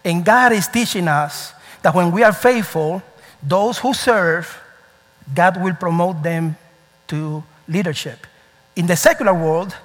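A man preaching a sermon as pastor, animated and storytelling, with nonstandard English grammar. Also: a little high. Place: church sanctuary. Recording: reverberant church hall.

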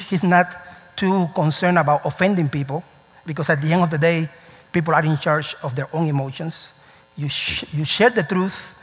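Animated male pastor in reverberant church. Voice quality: slightly high, lively preaching voice with nonstandard English grammar. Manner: animated, storytelling, emphatic. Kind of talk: sermon. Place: church sanctuary.

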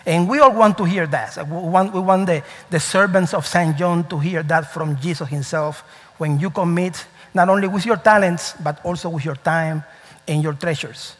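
A male pastor delivers a lively sermon, telling stories with emphatic rises in a fairly high voice. His English grammar slips here and there. The sound carries the long reverb of a church hall. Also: normal speed. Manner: animated, storytelling, emphatic. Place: church sanctuary.

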